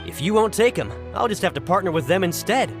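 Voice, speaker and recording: A man's voice: sits high, lively intonation, quick pace. An actor playing a pushy adult male fruit vendor in a video game, talking in a wheedling, salesy way with stammers. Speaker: merchant character. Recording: game music bed under the voice.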